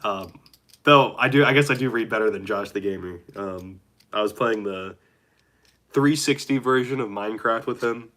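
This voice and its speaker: Young male streamer, congested and nasal, bright tone, loose rambling delivery. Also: on the low side. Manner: rambling, chatty, reads chat aloud haltingly. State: nasal and dry-throated from a cold. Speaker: livestreamer. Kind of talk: casual live stream chat with viewers.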